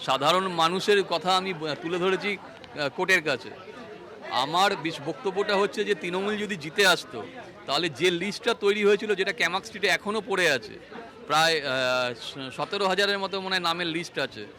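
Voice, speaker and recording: A man with a West Bengal accent making a halting, earnest spontaneous statement to the press. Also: high voice, sharp tone. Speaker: complainant speaking to press. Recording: outdoors with faint crowd behind.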